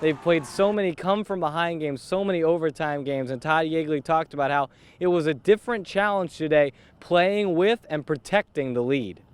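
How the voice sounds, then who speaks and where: Young man, sports host, slightly high clear voice, deliberate announcer phrasing. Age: young adult. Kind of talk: sports report and interview.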